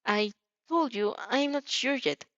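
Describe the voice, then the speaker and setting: A woman voicing a mellow but stubborn, mature-minded little girl in a story, speaking hesitantly. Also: on the high side, a little slow, no room sound, muffled recording, pitched above the performer's own voice. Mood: flustered. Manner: hesitant, mumbling.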